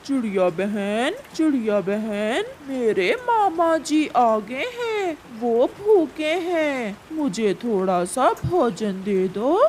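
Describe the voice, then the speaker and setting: A male cartoon crow, acted by a woman, theatrical and emphatic. The speech is slow with big swings in pitch, over faint noise. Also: expressive, a little mellow. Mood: pleading. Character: male crows, a pleading nephew and his demanding uncle. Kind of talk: Hindi animated fable dialogue.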